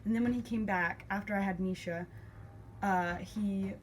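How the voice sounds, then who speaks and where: A woman's voice: medium pitch, fairly even intonation, normal speed. Woman telling a personal story over noise. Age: young adult. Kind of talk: casual confessional storytelling, acting out remembered conversations. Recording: clear background noise.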